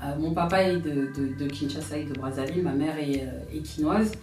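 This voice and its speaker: A woman in her thirties talks through a personal story, pausing and searching for her words. Her voice sits a little low and reaches the mic from some distance, in a roomy space. Soft music plays faintly underneath. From France. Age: 30s. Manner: reflective, hesitant, searching for words. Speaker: guest. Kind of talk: personal testimony in an interview.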